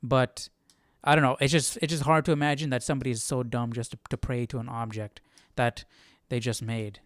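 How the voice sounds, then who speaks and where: A man's voice: mid-range voice, little pitch movement, fairly quick, dry recording, studio quality. A man hosting a Bible study stream, talking casually and reasoning through questions in a rambling, searching way. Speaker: Bible study livestream host. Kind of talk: casual thinking aloud, conversational.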